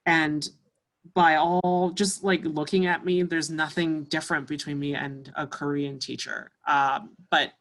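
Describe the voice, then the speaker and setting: A woman's voice: low voice, little pitch movement, measured pace. Canadian musician lady chatting away in an interview, tons of fillers. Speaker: musician guest. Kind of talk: casual interview chat.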